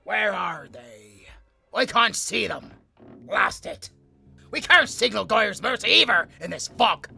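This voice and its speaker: A man's voice: high voice, expressive, slow pace, vocal fry, pushed and bright, faint music behind, heavily acted. A man playing a battle-hardened ship's captain in a nautical audio drama, urgent and theatrical as he commands his crew. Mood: alarmed, frustrated.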